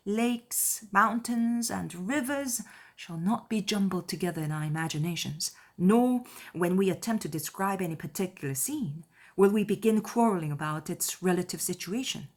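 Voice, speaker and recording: A woman voicing a spirited, witty young gentlewoman with an exclamatory, emphatic delivery in a dramatized audiobook. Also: medium pitch, natural voice.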